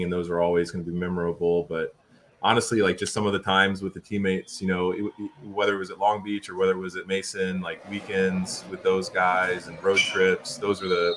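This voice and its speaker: Man, thirties, very deep voice, relaxed, rambling, faint noise behind. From Portugal. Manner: relaxed, rambling, many fillers and restarts. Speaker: assistant volleyball coach. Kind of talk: casual interview conversation.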